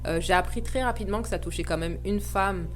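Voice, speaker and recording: A woman's voice: mid-range voice, fairly quick, faint music behind. A woman, a guest speaking earnestly and reflectively with frequent hesitations. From Paris suburbs, France. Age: 30s. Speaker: guest, association founder. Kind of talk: podcast interview, personal reflection.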